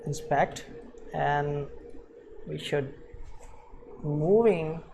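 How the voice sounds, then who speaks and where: A man presenting an unscripted coding screencast, thinking aloud in a halting way as he works. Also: on the high side, creaky, warm tone, a little hiss or hum. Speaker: programming tutorial presenter.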